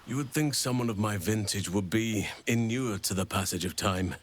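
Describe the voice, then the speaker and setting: Man playing a low-voiced, courtly older gentleman. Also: lightly acted. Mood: wistful, devoted. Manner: formal, measured. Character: courtly, long-lived older gentleman, devoted and eloquent. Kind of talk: video game character acting.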